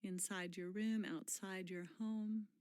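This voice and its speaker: A woman leading a guided mindfulness meditation in a gentle, unhurried manner, pausing often and letting phrase endings fall soft and breathy. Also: mid-range voice, fairly even intonation, no echo, clean studio sound.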